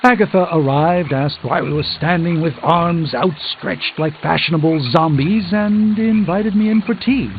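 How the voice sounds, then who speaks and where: A wry, courtly sorcerer, thin sound. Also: male voice, fairly high pitch, unhurried, hardly any pauses, a little hiss or hum. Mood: wryly amused. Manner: eloquent, theatrical, dry wit. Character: urbane, proud middle-aged male sorcerer, wry and courtly. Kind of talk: scripted video game dialogue. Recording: thin phone-like band, loud music behind.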